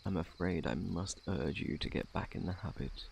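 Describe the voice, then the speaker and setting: A man with a British accent playing a courteous, scholarly plague doctor in a soft-spoken ASMR roleplay, formal and gently reassuring. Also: normal speed, slight background noise. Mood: gentle, attentive. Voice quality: very deep, creaky, hushed. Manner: formal, gentle, reassuring, old-fashioned wording. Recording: faint night insect ambience.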